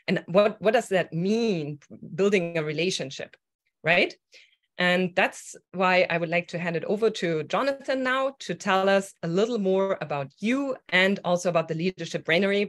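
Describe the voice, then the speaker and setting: Casual woman hosting a webinar. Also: fairly low pitch. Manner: casual, with fillers. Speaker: webinar host.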